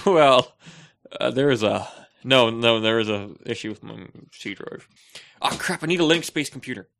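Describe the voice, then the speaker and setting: A man co-hosting a podcast in casual banter, goofy and excitable. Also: mid-range voice, moderate pace, creaky. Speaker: podcast host.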